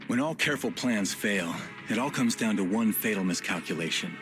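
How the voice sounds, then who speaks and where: A man's voice: on the low side, expressive, brisk, close to an everyday voice. An actor playing a smug, cruel tiger villain in a video game, grandiose and playful, savouring his words. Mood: theatrical resignation. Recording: loud music behind voice.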